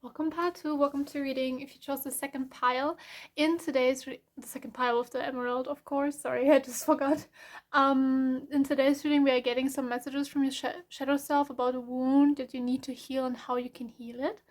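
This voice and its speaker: A young adult woman with a German accent, a non-native English speaker, giving a casual tarot reading in a soft, rambling way with many fillers and self-corrections. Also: sits high, level tone, brisk. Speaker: tarot reader.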